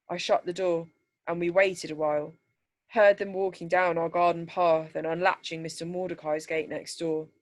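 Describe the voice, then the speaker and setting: Lower-pitched woman reading a story aloud. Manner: measured, deliberate, descriptive reading. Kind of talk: scripted story narration.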